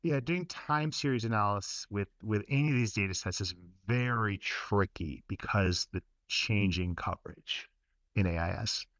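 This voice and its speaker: A man explaining data questions in a webinar, measured and explanatory. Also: fairly low pitch, fairly bright tone, no echo. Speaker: data researcher. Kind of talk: webinar answer. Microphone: video call.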